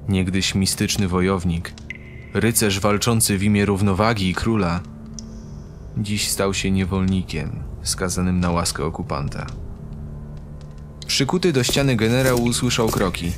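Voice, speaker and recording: A man's voice: sits low, unhurried. A man narrating a scripted fantasy audio drama in a dramatic storytelling style. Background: loud background music.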